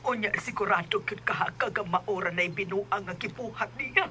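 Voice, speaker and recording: A woman's voice: mid-range voice, moderate pace, sharp tone, slight background noise, lightly acted. A woman acting a protective middle-aged mother in a radio drama, with a Cebuano Filipino accent. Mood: concerned, protective. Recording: radio drama over music bed.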